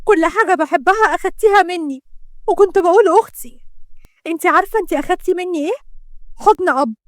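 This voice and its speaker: A woman's voice: very high-pitched, expressive, fast, no room sound, studio quality, voice pushed up. A woman voicing a tearful, wronged young woman who pleads emotionally in Egyptian Arabic. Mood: hurt, accusing.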